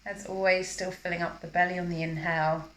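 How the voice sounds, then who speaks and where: A woman teaching yoga, guiding a breathing practice in a calm, measured, instructional manner during a live class. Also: on the low side. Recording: roomy, echoing live class recording.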